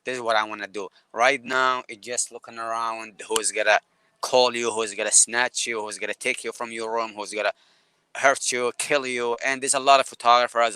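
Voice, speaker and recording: A man from Yemen with a Yemeni Arabic accent, speaking as a guest photographer on a live video call, halting and repeating words as he talks. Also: medium pitch, sharp tone, clean tone, no room sound, poor audio.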